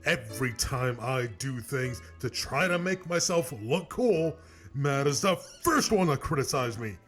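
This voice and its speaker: A man playing a vain, cowardly, excitable cartoon character with a theatrical, whiny and boastful delivery. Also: mid-range voice, measured pace, faint music behind. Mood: aggrieved. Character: vain, cowardly, excitable man who insults his rival. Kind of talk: comedic cartoon voice acting.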